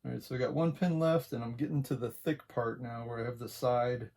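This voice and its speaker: A quiet-voiced man giving a casual, unhurried hands-on tutorial, talking along as he works, with a gravelly voice. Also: medium pitch, little pitch movement. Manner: unhurried, talks along while working. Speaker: craft tutorial host.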